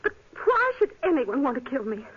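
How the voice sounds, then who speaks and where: A woman's voice: sits high, sing-song, fairly quick. An actress playing an anxious, earnest stage leading lady in a 1950s radio drama. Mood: puzzled, uneasy. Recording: muffled, noisy vintage radio recording.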